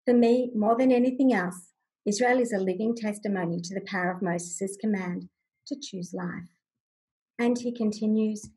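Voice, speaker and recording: A middle-aged woman gives a formal scripted reading over a video call. Her voice sits at an average pitch with a slightly rough, husky grain, and her phrasing is measured and solemn. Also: a little mellow, poor audio. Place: furnished room at home, indoors. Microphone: video call, computer microphone.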